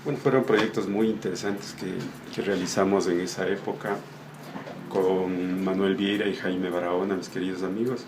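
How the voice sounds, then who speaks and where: An Ecuadorian man giving an informal, somewhat halting explanation of his work as an illustrator, often repeating words. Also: deep voice, background noise. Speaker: comic illustrator. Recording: roomy echo with music behind. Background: background music.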